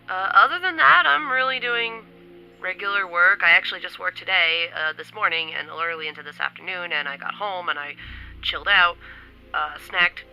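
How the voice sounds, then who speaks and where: A woman's voice: on the low side, moderate pace, creaky, clear, resonant tone, dull audio, soft background music. A woman vlogging casually at home, chatty and upbeat, a little stuffy from a cold. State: stuffy from a cold, occasional coughing. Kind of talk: casual chat to camera. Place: home in New York City.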